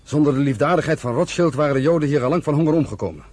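Husky, impassioned young migrant. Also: male voice, a little high, lively intonation, rapid-fire, a little mellow. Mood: bitter, disillusioned. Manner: impassioned, exclaiming. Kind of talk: Dutch radio play acting.

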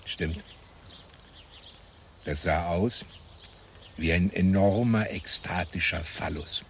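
Calm wry man looking back, deep voice, unhurried radio drama telling, faint birds and outdoor sound behind. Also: slight background noise. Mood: wry, relaxed. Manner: unhurried, matter-of-fact, pausing between phrases. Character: calm, wry man recalling a campus tragedy. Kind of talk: German radio play narration.

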